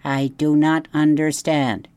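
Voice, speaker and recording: A stiff, literal-minded robot, as a woman puts it on, speaking in formal, flat phrases. The voice sits low, and the recording is dry and close. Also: slow pace, smooth, no room sound.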